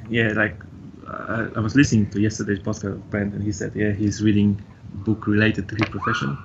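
A young man from Poland with a Polish accent, chatting as an interview guest, halting and full of fillers. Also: lowish voice, monotone, lo-fi sound, slight background noise. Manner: halting, uses many fillers like "like". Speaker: guest, gym member. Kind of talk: casual interview chat.

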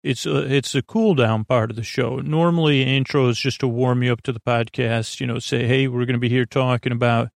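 A man's medium-pitched voice with a creaky, fried edge, recorded close and dry. He rambles through an improvised bedtime podcast monologue, stumbling over words and wandering into tangents. His delivery is absent-minded and self-deprecating. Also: fairly even intonation, dry recording. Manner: halting and meandering, stammers and trails off into tangents. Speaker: host of a bedtime sleep podcast.

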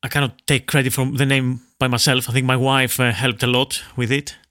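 A man's voice: mid-range voice, little pitch movement, a little fast, pushed and bright, dry recording, clean studio sound. A man hosting a podcast interview, friendly and a bit hesitant, with frequent ums and uhs. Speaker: podcast host. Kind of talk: interview conversation.

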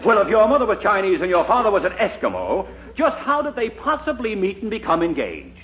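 Earnest courtroom lawyer, highish voice, lively swoops, formal, exasperated, hesitant, muffled 1940s radio comedy. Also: male voice, fairly quick, a faint crowd. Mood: formal, patient. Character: earnest, flustered male lawyer pleading a case. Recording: muffled 1940s radio recording, heavy noise.

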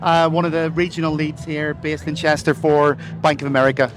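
An Irish man in middle age speaks with a fairly high voice. He sounds earnest and careful, padding his answers with fillers and corporate phrases. He is being interviewed outdoors at a busy festival. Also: flat delivery, fairly quick. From Ireland. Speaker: corporate sponsor representative.

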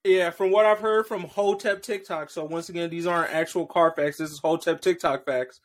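A man co-hosting a podcast in a relaxed, casual chat, speaking loosely and slangily and often tagging "bro" onto his lines. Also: a little high, normal speed. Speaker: podcast co-host. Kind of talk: casual chat about shows and movies.

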